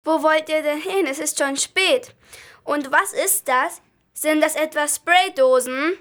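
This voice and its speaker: A woman's voice: high voice, takes it slowly, a bit bright. A female amateur playing a strict, scolding mother and police chief in an audio drama, with a stern manner. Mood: questioning.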